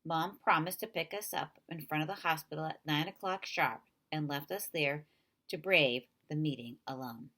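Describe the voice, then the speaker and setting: A woman narrating a children's novel in an expressive read-aloud style. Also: low voice, moderate pace.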